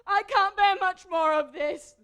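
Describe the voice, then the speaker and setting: A teenage actress on stage playing a frightened young wife at breaking point, pleading and crying out. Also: female voice, extremely high pitch, a little slow, fairly bright tone. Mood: distraught. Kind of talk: theatrical stage acting. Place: theatre stage.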